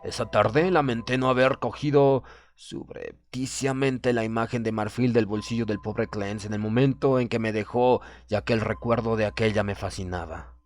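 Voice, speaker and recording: A man narrating a horror story in the first person, in a measured, formal, dramatic manner. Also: on the low side, fairly even intonation, a little mellow. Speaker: audiobook narrator. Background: music.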